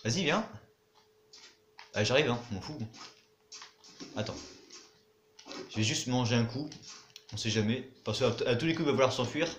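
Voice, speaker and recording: A man's voice: mid-range voice, normal speed, long pauses, echoey room, background noise. A young man giving casual commentary over his own gameplay, chatty, muttering to himself and swearing now and then. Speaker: gaming YouTuber.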